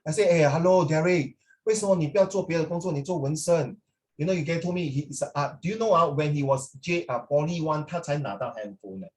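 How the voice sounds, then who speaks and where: Man, middle-aged, Singaporean accent, higher-than-average voice, informal teacher talk, code-switching, chatty tag questions. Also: fast talker. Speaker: language teacher. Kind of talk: informal classroom talk with students.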